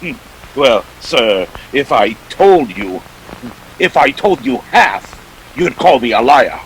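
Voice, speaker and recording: A man's voice: medium pitch, sing-song, slow, slight background noise, low-quality recording. A man acting a wordy, jovial but dangerous fat crime boss in a 1940s detective radio play. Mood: jovial, expansive. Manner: pompous, orotund, savoring his words.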